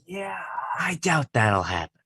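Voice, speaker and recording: A man playing a laid-back, patient co-op baker with a dry, deadpan manner in a comedy table read. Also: fairly high pitch, slow pace, vocal fry, slightly rough, no echo, exaggerated voice. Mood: reluctantly supportive.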